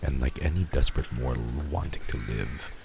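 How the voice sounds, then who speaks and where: A man's voice: rumbling low, a little fast, vocal fry, loud music behind the voice, exaggerated voice. A man playing a heartless vampire lord and crime boss in a fantasy audio roleplay, speaking in a pompous, archaic, menacing way. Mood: cold, commanding.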